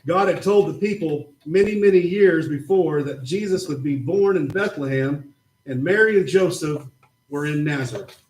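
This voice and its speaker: Man, high voice, patient children's Bible storyteller opposite a puppet. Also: moderate pace, low-quality recording. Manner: patient, gently explaining. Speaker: puppet show presenter. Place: church.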